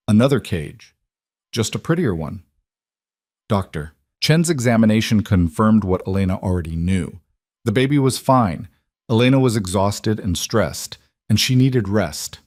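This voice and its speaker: A man narrates dramatic romance fiction in a low voice. The recording is dry, with very little room sound. He reads from a script as a storybook narrator. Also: darkish tone, dry recording.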